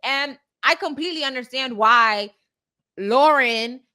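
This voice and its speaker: A woman with a forceful, bright voice pitched a little high, chatting as a podcast co-host. She is direct and opinionated, making her case conversationally and often ending a point with a quick "right?". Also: clean tone. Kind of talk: casual opinion discussion.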